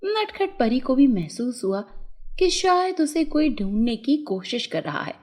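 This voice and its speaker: Female narrator for kids' fairy tales: high, expressive voice, unhurried pace. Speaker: storyteller. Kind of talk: scripted children's fairy tale narration.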